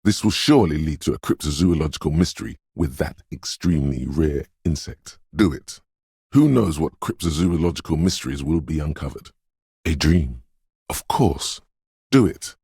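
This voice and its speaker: A man's voice: animated, normal speed, darkish tone, dry recording, studio quality, heavily acted. A man voicing a dreamy, mystical inner voice of intuition in a video game, narrating in a brooding, theatrical and intimate way. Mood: eerie excitement. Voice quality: very deep, gravelly, husky. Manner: brooding, theatrical, addressing the listener as you.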